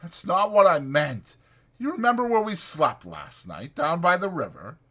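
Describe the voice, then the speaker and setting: A man's voice: fairly high pitch, sing-song, normal speed, a bit bright, husky, voice pushed up, exaggerated voice. A man voicing an irritable, protective ranch hand who scolds brusquely in rural American dialect for a dramatized audiobook. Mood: insistent.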